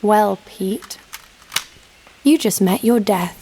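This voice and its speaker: A woman's voice: medium pitch, slow pace, warm tone, slight background noise. A woman playing a hot-tempered young female fighter in an audio drama, blunt and sarcastic. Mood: defiant, irritated. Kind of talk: first-person audio drama narration and dialogue.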